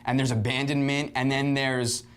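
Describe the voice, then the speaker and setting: A man hosting a film review show in casual conversation, often halting as he searches for his words. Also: medium pitch, level tone, a bit bright, smooth.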